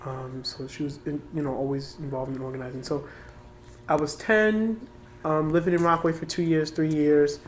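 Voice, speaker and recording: A man's voice: a little high, steady tone, normal speed, a little hiss or hum. An eighteen-year-old man from New York City, a youth organizer talking casually about himself in an interview. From New York City, United States.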